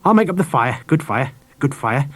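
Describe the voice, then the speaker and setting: A man's voice: a little high, very animated, moderate pace, slightly rough. A man voicing a kindly, nervous, grey-haired schoolteacher in clipped, abrupt, repetitive phrases for a storybook. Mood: nervous, brisk. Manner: clipped, abrupt short phrases, repeats himself.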